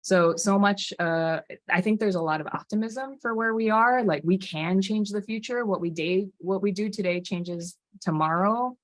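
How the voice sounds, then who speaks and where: A woman's voice: medium pitch, moderate pace, few pauses, no room sound. A woman from Hawaii speaking earnestly and passionately as a panelist, often restarting her sentences. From Hawaii, USA. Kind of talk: panel talk.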